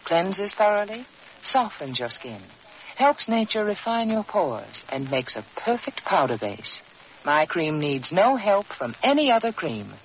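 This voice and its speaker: A woman reading a 1940s radio commercial as a beauty authority, deliberate and instructive. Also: fairly low pitch, big pitch swings. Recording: dull, hissy 1940s radio recording.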